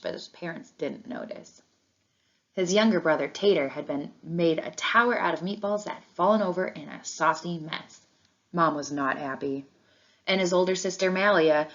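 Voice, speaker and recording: Female storybook reader, slightly low voice, expressive read-aloud for children, dull recording.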